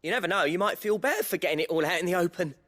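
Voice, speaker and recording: An actor playing a friendly, cheeky working-class British sidekick in a video game, informal and eager. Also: male voice, sits high, lively intonation, very fast, a bit bright. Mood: pleading.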